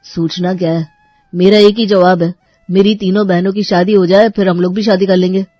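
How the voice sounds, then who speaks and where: A woman's voice: on the low side, level tone, normal speed, no echo, highs cut off, close to an everyday voice. A woman voicing a dutiful, exasperated young brother in a cartoon story. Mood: earnest, resigned. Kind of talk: Hindi cartoon story voice acting.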